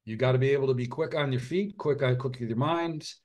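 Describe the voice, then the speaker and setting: A middle-aged man, an author and physician, speaking earnestly in an interview, often restarting his sentences and dropping quiet at heavy moments. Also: mid-range voice, highs cut off. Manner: earnest, halting, frequent restarts and fillers. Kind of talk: reflective interview conversation.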